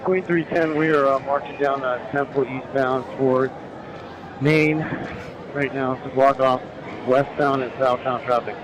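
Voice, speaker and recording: Flat-toned male officer on noisy police radio. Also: mid-range voice, measured pace. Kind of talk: radio position report. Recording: noisy police scanner, outdoor background.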